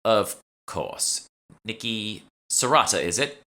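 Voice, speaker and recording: A bossy, impatient young woman played by a man in an audiobook, curt and used to leading the way. The voice is bright and forceful. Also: lowish voice, animated, takes it slowly, voice pushed up, heavily acted. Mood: businesslike. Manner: curt, commanding. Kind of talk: audiobook character dialogue.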